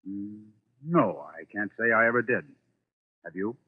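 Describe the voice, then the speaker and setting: An actor playing a wry, easygoing author in 1940s radio style, with a dry, teasing, relaxed way of talking. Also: male voice, lowish voice, measured pace, husky. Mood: measured, curious. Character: wry, easygoing male author and occult lecturer. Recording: muffled, tinny old radio recording.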